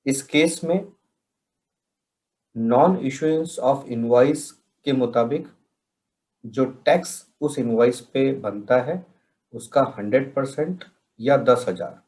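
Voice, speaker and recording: A man from India presenting a question-and-answer tutorial in a deliberate way, pausing between phrases. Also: medium pitch, mellow, rough recording. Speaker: presenter of a software training session.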